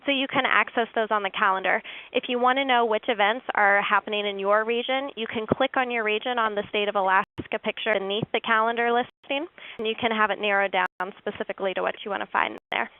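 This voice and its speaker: A woman hosting a webinar who walks listeners through online resources in a steady, informative way. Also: medium pitch, fast, dry recording. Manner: steady, informative, occasional fillers. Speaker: webinar host. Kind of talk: webinar presentation. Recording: dry tinny phone-like line.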